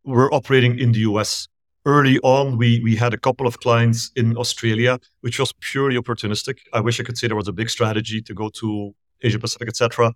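A middle-aged man from Belgium, a startup founder explaining his business in a measured, thorough way during a remote podcast interview. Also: mid-range voice, flat delivery, dry recording. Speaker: guest, startup founder and CEO.

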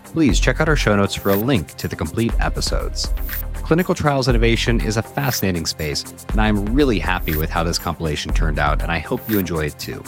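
A man hosting a podcast, reading scripted intros and then interviewing a guest in an upbeat, measured way. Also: on the low side, expressive, music in the background. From Colorado, US. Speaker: podcast host.

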